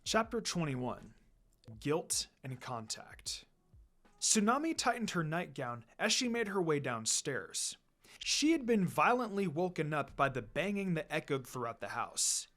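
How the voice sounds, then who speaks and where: A man's voice: on the high side, sing-song, unhurried, a bit bright. A man reading fan fiction aloud as a dramatic scripted narration. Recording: music under the voice. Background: background music.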